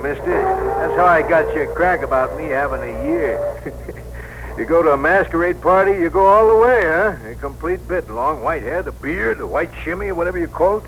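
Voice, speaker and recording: An actor playing a talkative, good-humored older cab driver, colloquial and blustery, in a 1960s radio drama. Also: male voice, a little high, lively intonation, moderate pace. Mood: amused, chatty. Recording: noisy vintage radio broadcast recording.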